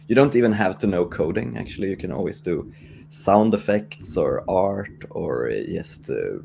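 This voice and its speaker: Man, Swedish accent, very low pitch, casual developer chat, faint background noise. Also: expressive, moderate pace, vocal fry, warm tone. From Sweden. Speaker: guest developer promoting a game jam.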